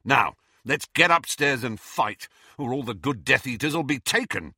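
Reader as a cheeky young man, mock-pompous, bright. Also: medium pitch, expressive, measured pace, breathy edge, no room sound, studio quality, full character voice. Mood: teasing.

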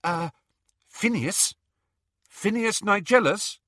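An earnest, anxious teenage girl , put on by a male narrator in a storybook. The voice is raised high and bright, polite but urgent and insistent. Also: expressive, slow pace, no echo, studio quality, full character voice. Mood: polite, pleading.

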